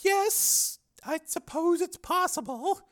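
A man voicing a defensive, anxious woman scientist in an audiobook, uneasy yet insistent, explaining and pleading in halting phrases. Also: very high voice, voice pushed up. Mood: hesitant, uneasy.

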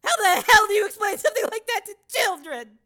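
Woman as a frantic estranged mother, high, bright, halting and tearful. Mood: distraught. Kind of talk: dramatized audiobook acting.

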